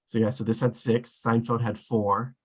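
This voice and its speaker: A man's voice: medium pitch, little pitch movement, moderate pace. A man moderating a casual group discussion, thinking aloud in a tentative way.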